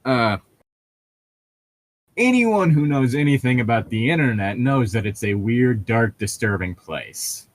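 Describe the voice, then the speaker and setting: A man's voice: mid-range voice, animated, measured pace, dry recording, rough recording. A man hosting a casual comedy read-along, bantering and reading aloud in a wry, joking, conversational way. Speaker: podcast host reading fan fiction aloud.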